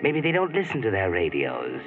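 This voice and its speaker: A man's voice: sits low, huge pitch swings, moderate pace, phone-quality sound, over music, heavily acted. A man narrating an old radio drama in the second person with tense urgency, on an old radio broadcast recording. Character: grim male narrator addressing a hunted woman.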